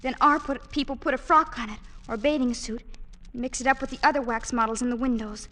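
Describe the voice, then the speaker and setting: An actress playing a frightened young woman held captive, speaking softly and pleadingly in an old-time radio drama. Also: on the high side, fairly even intonation, fast, lightly acted. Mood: hushed. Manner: soft, fearful and pleading.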